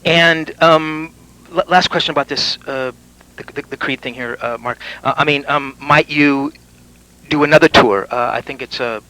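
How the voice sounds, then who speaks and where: Bright, hesitant male interviewer. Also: a little high, normal speed, rough recording. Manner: hesitant, stacks fillers before questions. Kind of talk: interview questions.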